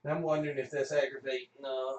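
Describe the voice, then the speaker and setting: A man's voice: mid-range voice, flat delivery, fast talker, distant mic. Echoey, folksy Southern US man. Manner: jokey, folksy. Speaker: livestream host and radio play performer. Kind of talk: casual joking live stream chat. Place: indoor room, group sharing one mic. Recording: echoey room, voice off from the mic.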